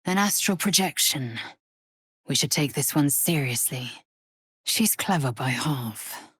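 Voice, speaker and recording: Fierce alien warrior lady for a video game, breathy rasp, super haughty n bossy, slow deliberate delivery, very dry close sound. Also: deep voice, animated, a bit bright, no room sound, exaggerated voice. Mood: wary, clipped. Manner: haughty, clipped, commanding formal delivery. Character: fierce, proud alien warrior woman, imperious and zealous. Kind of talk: video game character voice acting.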